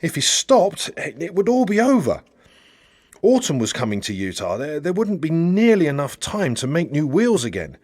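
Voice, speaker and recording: A man reading a satirical novel aloud as a narrator, with dramatic, expressive storytelling. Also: fairly high pitch, moderate pace, dry recording. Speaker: audiobook narrator.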